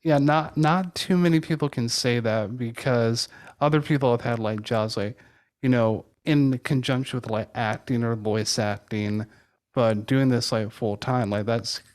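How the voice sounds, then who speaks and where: A man's voice: medium pitch, a little slow, darkish tone. Casual man, mid-range voice. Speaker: podcast co-host, interviewer. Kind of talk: casual conversational interview.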